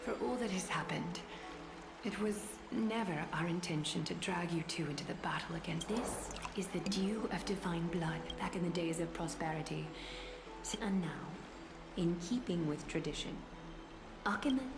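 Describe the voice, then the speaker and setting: A woman playing a stately, composed noblewoman leader in a video game, formal, measured and ceremonious. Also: fairly low pitch, creaky. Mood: solemn welcome. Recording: echoey, music behind.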